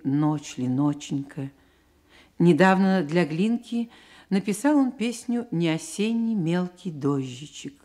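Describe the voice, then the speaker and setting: A woman narrating a radio drama in a measured, literary storytelling manner. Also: sits low, mellow.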